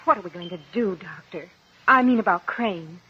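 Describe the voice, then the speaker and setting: An actress playing a guarded, on-edge doctor's assistant in a 1950s radio drama, brisk and curt. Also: female voice, lowish voice, expressive, slightly rough. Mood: tense, worried. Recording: dull, muffled 1950s radio recording.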